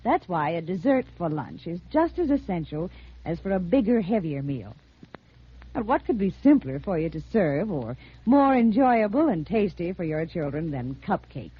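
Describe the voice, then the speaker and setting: Lilting, husky 1950s American radio woman. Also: fairly low pitch, sing-song, moderate pace. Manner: formal, carefully enunciated, instructive. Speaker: home economist giving a sponsor message. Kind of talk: 1950s scripted radio advertisement. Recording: muffled 1950s radio recording.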